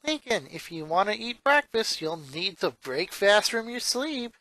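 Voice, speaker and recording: A man putting on a high, jokey teenage girl comedian. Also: very animated, a little slow, sharp tone, heavily acted. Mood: jolly. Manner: jokey, punning delivery. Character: upbeat, pun-loving teenage comedian sister, a jolly girl.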